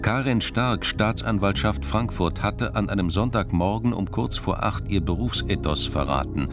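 A man's voice: on the low side, normal speed. A man narrating a crime radio drama in a scripted, literary style, with music behind him.

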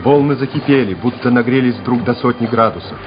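A man's voice: mid-range voice, brisk. A man narrating a war radio play in a dramatic, scripted style. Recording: muffled, noisy old radio recording.

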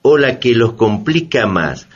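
An actor playing a wry, didactic explainer with an ironic, emphatic manner in a satirical educational radio drama. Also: male voice, mid-range voice, sing-song, a little slow, lo-fi sound. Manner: ironic, emphatic, rhetorical questions. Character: wry, didactic male explainer debunking foreign patents.

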